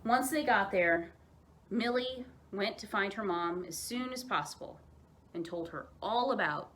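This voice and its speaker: A woman narrating a children's story aloud as a storyteller, in an expressive, dramatized way. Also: mid-range voice, unhurried, a little hiss or hum.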